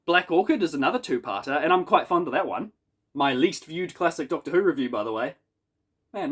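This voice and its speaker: A man with a New Zealand accent and a head cold, giving a chatty, wry spoken review in a casual, self-deprecating way from his small apartment. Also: a little high. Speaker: YouTube reviewer.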